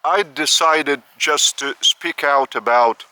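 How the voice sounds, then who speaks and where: A man from Lithuania, giving a spiritual talk outdoors in the park of an old estate, speaking slowly in short, halting phrases. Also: fairly high pitch, pushed and bright, clean tone, no room sound, low-quality recording. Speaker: spiritual teacher.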